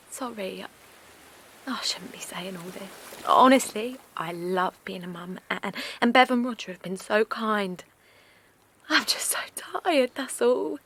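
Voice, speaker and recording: A frazzled, exhausted young mum. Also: female voice, fairly high pitch, bright, forceful tone, slight background noise. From Essex. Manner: anxious, apologetic, rambling, often half-whispered. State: worn out. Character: exhausted young mother of a colicky newborn. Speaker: new mother. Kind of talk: naturalistic audio drama acting. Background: faint outdoor seaside ambience.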